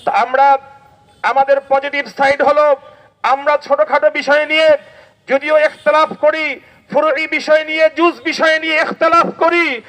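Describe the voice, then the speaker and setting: A man's voice: very high-pitched, flat delivery, normal speed, fairly bright tone, poor audio. A Bangladeshi man giving an impassioned religious protest speech as a cleric, declamatory and exhorting, with rhetorical questions and repetition. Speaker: Islamic cleric addressing a protest rally.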